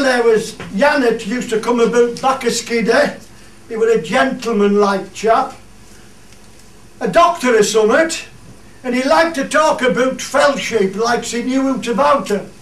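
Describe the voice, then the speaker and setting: A man acting a plain-spoken, jocular fell farmer with a Cumbrian accent and a halting, folksy manner, in a dialect radio play. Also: very high voice, a bit bright. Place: theatre studio. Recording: echoey room, distant mic, faint noise.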